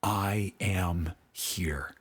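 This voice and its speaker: A man narrating a spiritual audiobook in a dramatic, solemn way, measured and with long pauses, his voice husky and breathy. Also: low-pitched.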